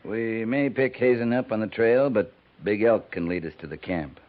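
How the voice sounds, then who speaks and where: An actor playing a blunt, weary US cavalry captain with a curt, sardonic delivery in a 1950s radio western. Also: male voice, lowish voice, normal speed, natural voice. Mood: firm, grim. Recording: muffled narrow-band old 1950s radio recording.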